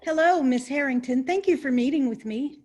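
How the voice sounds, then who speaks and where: A woman's voice: high voice, normal speed, a little mellow, lightly acted. An actress playing an eager, polite young woman reporter in a stagey comedy play.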